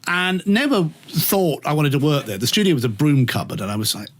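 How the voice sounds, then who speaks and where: Camp British male raconteur, higher register, highly animated, theatrical anecdotal chat. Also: fairly quick. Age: middle-aged or older. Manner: camp, theatrical, acts out quoted dialogue. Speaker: radio presenter, interview guest.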